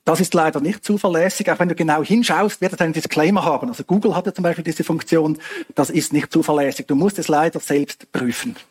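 A man's voice: a little high, fast, darkish tone, breathy edge. A man from Switzerland, answering audience questions after a talk in an explanatory way. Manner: explanatory, hedging asides, frequent fillers.

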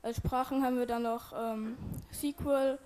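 A woman's voice: a little high, level tone, moderate pace, a little mellow, slight background noise. A woman presenting her team's web project and giving a live demo, with many hesitant fillers. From Germany. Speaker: student presenter.

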